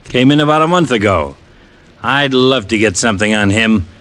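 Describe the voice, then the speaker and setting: Lively American police lieutenant. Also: male voice, lowish voice, normal speed. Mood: businesslike. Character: businesslike, friendly male police lieutenant. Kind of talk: old-time radio detective drama dialogue. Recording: old radio recording, faint noise.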